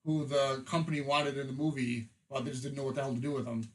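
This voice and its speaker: A man co-hosting a podcast, telling anecdotes in a casual, profane and animated way. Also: medium pitch, fast talker.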